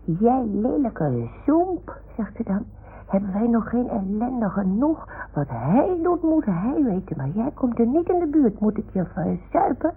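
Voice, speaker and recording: A woman's voice: fairly high pitch, sing-song, a little slow, breathy edge, full character voice. A woman voicing a naive, chatty toy dog in a radio play, with a childlike, questioning manner that seeks approval. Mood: worked up. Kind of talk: 1960s-70s Dutch radio drama acting. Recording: muffled, phone-like old radio recording.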